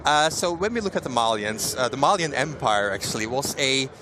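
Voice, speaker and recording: A man, a game developer, explaining features eagerly in a live interview, often pausing with "uh" and restarting. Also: medium pitch, lively intonation. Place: trade fair stand. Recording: noisy live trade fair stream.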